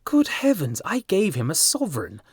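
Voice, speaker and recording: An excitable, easily embarrassed young gentleman acted out by a man reading an audiobook. He sounds earnest and plaintive, with a raised, very mobile pitch and a dry, close sound. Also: normal speed, breathy edge, no echo, full character voice. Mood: shocked, indignant. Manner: indignant, plaintive, impulsive. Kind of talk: audiobook character dialogue.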